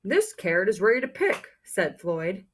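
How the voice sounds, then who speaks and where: A woman reading a picture book aloud to young children like a teacher, expressive and encouraging, pausing to put questions to her listeners. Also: medium pitch, slight background noise. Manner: expressive, encouraging, exclaims softly over pictures.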